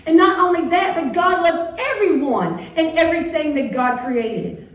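Animated woman preaching in an echoey church. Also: very high voice, moderate pace, distant mic. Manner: animated, playful, acting out biblical figures with big swings. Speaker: pastor. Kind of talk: sermon. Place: church sanctuary with organ pipes. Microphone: far camera in the pews.

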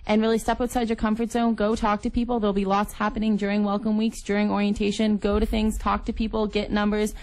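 A woman's voice: mid-range voice, flat delivery, fast talker, hardly any pauses, a little mellow, low-quality recording. A young adult woman speaking casually as an interview guest, explaining things for students. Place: radio studio.

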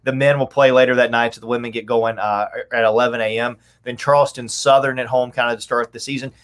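A man's voice: mid-range voice, steady tone, quick pace, a bit bright. A man in his thirties giving conversational sports commentary as a guest on a video call from a room at home. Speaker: guest, radio play-by-play announcer.

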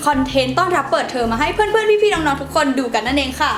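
A teenage high school girl hosting a student vlog in a chatty, polite presenter style. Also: lively intonation, fast talker, a bit bright. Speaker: student host of a school channel. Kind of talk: scripted vlog presenting to camera. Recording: roomy echo, music behind. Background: background music.

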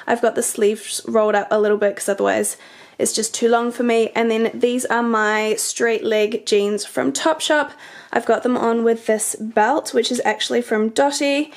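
Chatty New Zealand woman with a cold. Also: a little high. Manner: chatty, relaxed, upbeat. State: hoarse and congested from a cold. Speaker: fashion vlogger and teacher. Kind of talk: casual outfit vlog. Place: bedroom with a mirror, indoors. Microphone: camera's built-in mic, filmed in the mirror.

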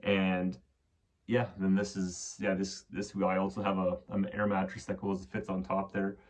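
Young guy, low steady voice, loose walkthrough with lots of restarts, phone held at arm's length. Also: normal speed. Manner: relaxed, rambling, frequent restarts and fillers. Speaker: vlogger. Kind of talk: casual walkthrough tour. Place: small insulated wooden shack indoors. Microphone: handheld phone camera at arm's length.

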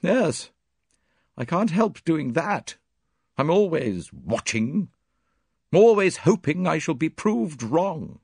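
A man's voice: fairly high pitch, very animated, slow pace, gravelly, pauses often, slightly rough, dry recording, clean studio sound, pitched above the performer's own voice, heavily acted. A man voicing a blunt, vehement Englishwoman with an emphatic, exclamatory manner in a storybook reading. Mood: resolved. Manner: emphatic, exclamatory, sharp-tongued. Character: blunt, vehement, agitated Englishwoman, fiercely indignant. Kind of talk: audiobook character dialogue.

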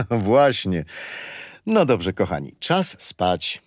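An actor playing a patient, gentle grandfather telling children a history story in a radio play. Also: fairly low pitch, big pitch swings, very slow, dry recording, studio quality, heavily acted. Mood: patient, fond. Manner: gentle, didactic.

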